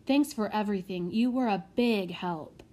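A woman's voice: medium pitch, normal speed, a little mellow, natural voice. A woman voicing an earnest, kindly but anxious young boy in a children's storybook. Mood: kind, sincere.

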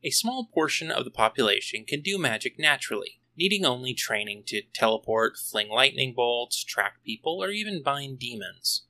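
A man presents a scripted solo review as a podcast host. His voice is bright and punchy, with lively swings in pitch. He drops in wry, tongue-in-cheek asides as he goes. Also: normal speed, hardly any pauses.

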